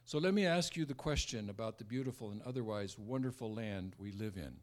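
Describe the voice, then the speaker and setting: An American man in his seventies giving a sermon with scripture and personal stories, in a halting way with repeated words and restarts. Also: on the low side. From born in America, raised largely in Southeast Asia. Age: 70s. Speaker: preacher, former missionary.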